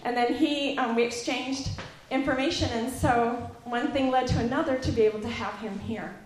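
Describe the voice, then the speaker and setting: A woman's voice: fairly high pitch, moderate pace, slight background noise. A woman telling a rambling story at a church gathering, then introducing a guest speaker. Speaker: event organizer introducing a guest. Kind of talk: casual church talk. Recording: very echoey room.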